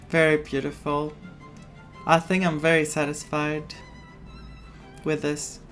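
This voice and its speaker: A man streaming a story game, reading dialogue aloud and adding his own expressive reactions. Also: a little high, gravelly. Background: game music.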